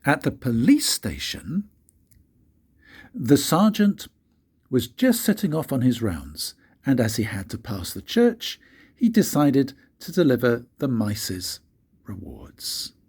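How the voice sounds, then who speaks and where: An older man reads a children's story like a grandfather, in an expressive, deliberate way with dramatic pauses and a husky voice. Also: mid-range voice. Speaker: grandfather reading stories for his grandchildren.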